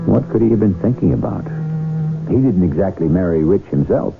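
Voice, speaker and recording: A man narrating a 1970s radio drama as its host, theatrical, wry and deliberate, with rhetorical flourishes. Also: deep voice, animated, gravelly, slight background noise. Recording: muffled old radio broadcast, music behind. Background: music.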